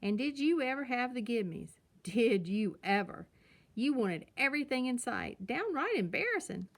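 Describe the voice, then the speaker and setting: An elderly grandfather bear from a children's storybook, played by a woman reading aloud. He is folksy and teasing, reminiscing emphatically in a highish voice. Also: big pitch swings, normal speed, vocal fry.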